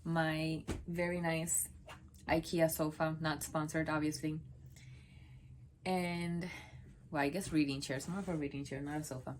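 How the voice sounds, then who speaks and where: Young woman, Spanish native from Puerto Rico, deep chatty vlog voice, meandering and self-correcting. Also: steady tone, measured pace, pauses often, darkish tone, slight background noise. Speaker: book vlogger. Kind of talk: casual talk to camera. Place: home office, sitting on the floor.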